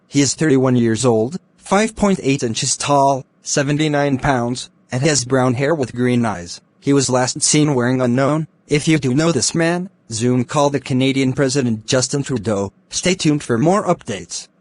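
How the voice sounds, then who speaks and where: A man's voice: mid-range voice, no echo. A man delivering a scripted mock emergency broadcast as a formal, stilted alert announcer.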